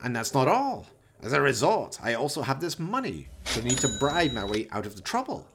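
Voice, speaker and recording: An actor playing a vain, pompous, scheming mad scientist villain in a radio play, with florid, theatrical, grandiose delivery. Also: male voice, medium pitch, sing-song, a little fast, a bit bright, a little hiss or hum. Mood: gloating, excited.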